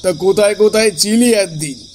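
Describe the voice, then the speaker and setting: A man's voice: sits high, moderate pace, noisy background, lightly acted. A man playing a concerned, slightly anxious elder brother figure with a secret, speaking familiarly in a horror audio drama. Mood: concerned, curious.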